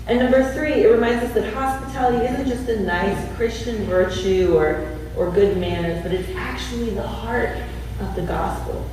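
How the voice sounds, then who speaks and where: A woman's voice: a little high, mellow, a little hiss or hum. Female preacher at a storytelling sermon, heard from far off in a very echoey church hall. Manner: storytelling with slowed emphasis. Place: church sanctuary.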